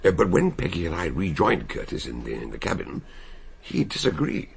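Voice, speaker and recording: A man's voice: low-pitched, wildly swooping pitch, normal speed, creaky, slightly rough, heavily acted. An actor playing a genial detective doctor who hesitates with ums and uhs, in a radio drama he also narrates. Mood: hesitant.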